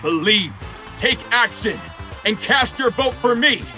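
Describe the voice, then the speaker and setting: An actor playing a smooth-talking politician who gives interviews and campaign speeches in a game. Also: male voice, very high-pitched, animated, a little slow, pushed and bright, faint music behind, heavily acted. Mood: rousing. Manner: rousing, oratorical. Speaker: election candidate. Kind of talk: game cutscene voice acting.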